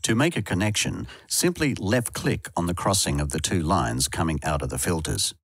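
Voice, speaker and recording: A man with a low voice narrates a software tutorial from a script. His intonation moves up and down in a lively way as he explains step by step. The sound is dry and close. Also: normal speed, breathy edge, dry recording.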